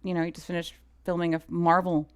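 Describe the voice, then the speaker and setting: A woman's voice: lowish voice, fast talker, lo-fi sound. A woman guest in a casual interview, telling anecdotes with acted-out dialogue and lots of "like". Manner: animated storyteller, quoting dialogue, frequent "like". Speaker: interview guest, film assistant director.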